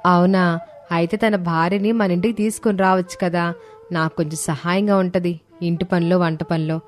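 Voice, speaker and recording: A woman's voice: fairly low pitch, steady tone, normal speed, soft background music, pitched below the performer's own voice, close to an everyday voice. A woman voicing a practical, considerate landlord's wife in a storybook tale, polite and questioning. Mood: gently requesting.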